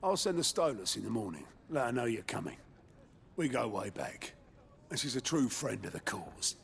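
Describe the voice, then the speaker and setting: A man's voice: medium pitch, very animated, moderate pace, vocal fry, fairly bright tone, a little hiss or hum. A man playing a roguish, worldly rebel quartermaster in a video game, with a regional English accent and a wry, teasing, colloquial manner. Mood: sly, teasing.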